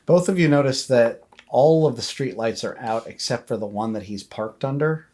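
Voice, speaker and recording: A man's voice: medium pitch, moderate pace, darkish tone. Male game master, faint background noise. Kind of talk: improvised tabletop game narration.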